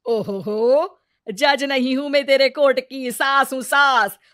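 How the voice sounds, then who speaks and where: Woman acting a nagging, sarcastic mother-in-law, high-pitched. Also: steady tone, takes it slowly, a bit bright, no echo, clean studio sound. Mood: scolding. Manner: taunting, melodramatic complaining. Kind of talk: exaggerated comedy story acting.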